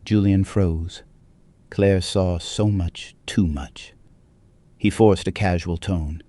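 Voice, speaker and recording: Deep mellow guy narrating a dramatic story, solemn and measured, really dry sound. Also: fairly even intonation, no room sound.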